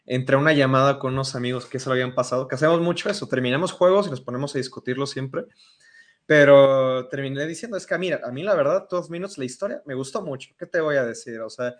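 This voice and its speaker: A man's voice: medium pitch, fast talker. A man with a Mexican accent hosting a casual podcast, rambling with lots of "o sea". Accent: Mexican Spanish. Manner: rambling, many fillers like "o sea". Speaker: podcast host. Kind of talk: casual discussion.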